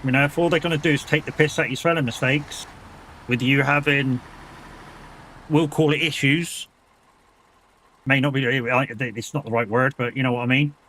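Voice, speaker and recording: A British man streaming a game from home, chatting loosely with his viewers in a bright, forceful voice. He's reassuring and easygoing, drops the odd swear word and laughs often. A faint engine hum sits behind him. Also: medium pitch, fairly even intonation, moderate pace, long pauses, low-quality recording. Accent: British English. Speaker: gaming streamer. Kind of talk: casual live-stream chat with viewers.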